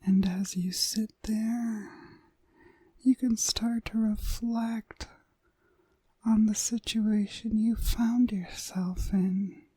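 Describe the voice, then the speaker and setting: A seductive witch hypnotist, played by a woman, whispers very slowly in a heavily breathy voice. She is commanding and possessive, lulling the listener with deliberate phrases in an intimate ASMR roleplay. The sound is close and dry. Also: fairly high pitch, steady tone, warm tone, no room sound, full character voice. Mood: hypnotic, lulling. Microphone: close, dry microphone.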